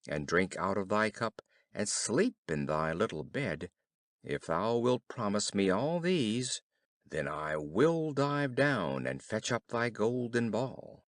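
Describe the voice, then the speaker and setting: A storybook frog, acted out by a male narrator, pleading and insistent. He speaks in formal, archaic phrases with a lowish pitch and a close, dry sound. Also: no room sound.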